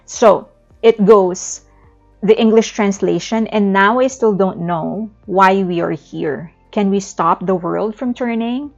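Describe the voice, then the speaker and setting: A woman's voice: mid-range voice, slow, gravelly, a little mellow. A woman from the Philippines with a Filipino accent, explaining her thoughts in a reflective video essay, with small restarts. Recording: slightly muffled, faint background music.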